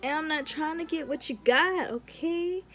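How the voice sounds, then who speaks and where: A woman's voice: high-pitched, normal speed, a bit bright. A young adult woman, a law student, chatting informally and giving advice in a casual vlog with emphatic repetition.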